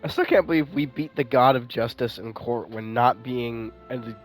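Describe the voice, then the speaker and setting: A man streams a game playthrough in a medium-pitched voice. His commentary is casual and sweary, and he repeats himself as he reacts. Faint music plays behind him. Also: moderate pace. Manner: informal, sweary, repeats phrases.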